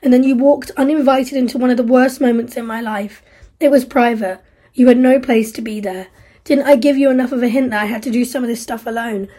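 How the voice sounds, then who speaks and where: A woman's voice: on the high side, steady tone, moderate pace, warm tone, slightly rough. A woman voicing a grief-stricken, angry young man who survived a disaster, speaking with raw, bitter anguish.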